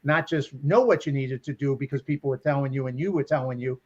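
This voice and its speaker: A man's voice: mid-range voice, fast. A man hosting an interview podcast, asking encouraging questions with many restarts. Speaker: podcast host. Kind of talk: interview conversation. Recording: dull lo-fi sound.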